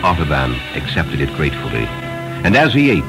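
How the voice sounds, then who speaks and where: Very low-voiced man narrating a story. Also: huge pitch swings, brisk, music in the background. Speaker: audiobook narrator. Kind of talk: scripted dramatic storytelling narration.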